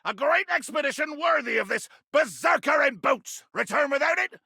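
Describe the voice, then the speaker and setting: An actor playing a grandiose, bloodthirsty pirate berserker in a video game, with a gravelly voice and florid, bombastic old seafaring speech. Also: male voice, extremely high pitch, animated, moderate pace, dry recording, studio quality, exaggerated voice. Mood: grandiose, threatening. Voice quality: gravelly, raspy. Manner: bombastic, florid archaic pirate diction, erupting into shouts. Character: ranting, grandiose, bloodthirsty male pirate berserker, comically menacing. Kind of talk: theatrical video game character acting.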